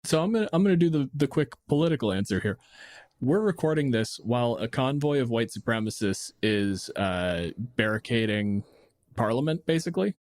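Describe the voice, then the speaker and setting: A man's voice: mid-range voice, lively intonation, moderate pace, darkish tone, no room sound, clean studio sound. A man from Canada co-hosting a casual podcast chat, blunt and sardonic in his way of talking. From Windsor, Ontario, Canada. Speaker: podcast co-host. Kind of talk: casual chat about tabletop gaming.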